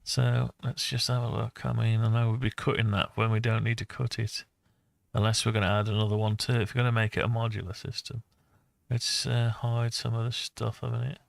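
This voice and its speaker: A man's voice: medium pitch, flat delivery, fairly quick, creaky, dry recording, studio quality. A man giving a casual design walkthrough, thinking aloud in a hesitant, musing way as he talks through his ideas. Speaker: presenter of a hobby design project video.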